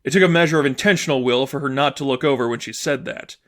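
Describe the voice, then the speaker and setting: A man's voice: medium pitch, quick pace, fairly bright tone. A man reading scripted fiction aloud as the narrator of a fan fiction audiobook.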